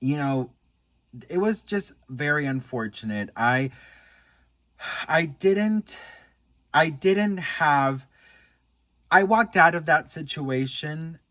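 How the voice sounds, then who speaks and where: A man's voice: on the high side, lively intonation, slow pace, pauses often, a bit bright, dry recording. An American man chatting casually and earnestly to his viewers as a vlogger, in a gushing, confiding way. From United States. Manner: gushing, earnest, addresses viewer as best friend. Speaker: vlogger commenting on celebrity news.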